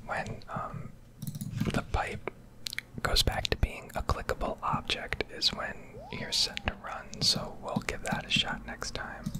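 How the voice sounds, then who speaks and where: A woman whispering a casual, rambling ASMR commentary over a video game, pausing often as she goes. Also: poor audio. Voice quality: whispered, breathy. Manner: casual, rambling, hesitant pauses. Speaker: video creator, game streamer.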